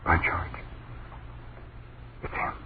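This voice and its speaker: An actor playing a frightened, awestruck husband in an old radio drama, speaking in halting, hushed short phrases. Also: male voice, narrow, tinny audio. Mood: awed. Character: awestruck, frightened husband and father watching ghostly apparitions. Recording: thin, noisy old radio recording.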